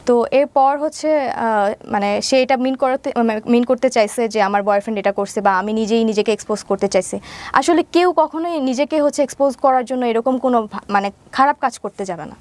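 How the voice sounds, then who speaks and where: A woman's voice: on the high side, fairly quick, darkish tone, no room sound. A young woman with a Bangladeshi accent, giving a personal account as an interview guest and mixing in English words. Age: young adult. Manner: mixes English words into her speech.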